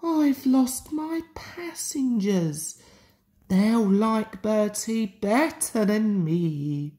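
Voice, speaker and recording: An anxious young boy engine from a storybook , acted out by a woman. He talks slowly and earnestly, with a fretful edge and a very mobile pitch. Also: expressive, warm tone. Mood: sad.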